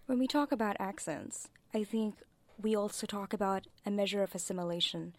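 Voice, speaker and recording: A woman's voice: mid-range voice, a little fast, no room sound. A young woman who grew up in Saudi Arabia, with a slight American twang, speaking analytically in a discussion. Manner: articulate, analytical. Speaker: panel speaker.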